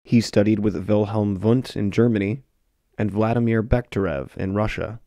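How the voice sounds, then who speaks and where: A man narrating a short history film in a measured, scripted way. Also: fairly low pitch, steady tone, warm tone, no echo, dull audio. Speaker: documentary narrator.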